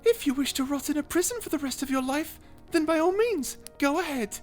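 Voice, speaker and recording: Tiny feisty mouse: high, swooping voice, excitable and proper, for audio drama. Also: female voice, fairly quick, soft background music. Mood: scolding, earnest. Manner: excitable, indignant, proper, stammering. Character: cute, feisty, earnest little mouse companion. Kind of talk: character audio roleplay.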